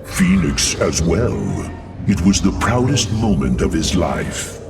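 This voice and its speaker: An actor voicing an earnest, proud mechanical alien warrior in a video game, solemn and heartfelt in a weighty delivery. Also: male voice, sits low, very animated, takes it slowly, recorded from a distance, music in the background, heavily acted. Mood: wistful. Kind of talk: dramatic video game voice acting.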